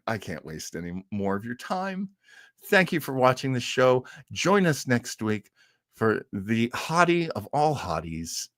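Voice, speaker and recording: A man's voice: on the low side, a bit bright, clean studio sound. A man hosting a casual live stream in a rambling, jokey, exclamatory way. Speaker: host of a live streamed show. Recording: roomy echo with faint music.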